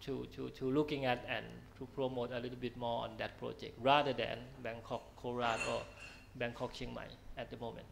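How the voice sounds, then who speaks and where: A man speaking on a discussion panel who hesitates and restarts often as he answers. His voice has a gravelly edge. A faint hum of noise sits behind him. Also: mid-range voice, level tone, a little fast, few pauses. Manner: hesitant, many fillers and restarts.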